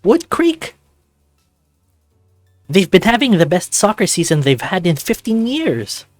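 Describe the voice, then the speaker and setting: A man voicing a grumpy, excitable teenage boy on a school soccer team in a visual novel, exasperated and sarcastic. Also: high-pitched, measured pace, no echo, soft background music, pitched above the performer's own voice. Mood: agitated ranting.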